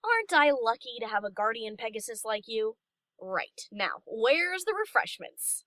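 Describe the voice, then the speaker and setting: A woman's voice: a little high, very animated, normal speed, pushed and bright, no echo, clean studio sound. A woman voicing a brash young DJ pony, casual and teasing, in an audio story. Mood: cheeky. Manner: casual, cheeky, sardonic. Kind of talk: character acting in audio story reading.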